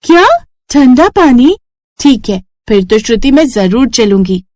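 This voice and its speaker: An excitable young village girl, acted by a woman for a children's moral story. She is eager and insistent, with a high, pleading delivery in a dry recording. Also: measured pace, no echo. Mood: curious.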